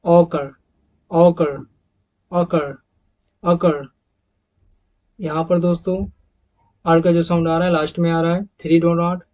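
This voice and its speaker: A man's voice: a little high, flat delivery, takes it slowly, pauses often, a little mellow. A man with an Indian accent teaching English phonetics over slides, repeating each model word several times and calling his viewers friends. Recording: dull lo-fi recording.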